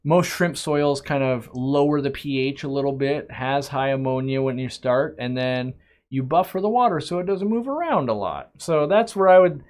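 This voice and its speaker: A man's voice: mid-range voice, moderate pace, darkish tone. Chatty man rambling through a live stream. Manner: rambling and chatty, with frequent restarts and asides; reads viewer questions aloud, then answers them. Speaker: aquarium shop owner hosting a live stream. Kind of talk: casual live-stream Q&A chat.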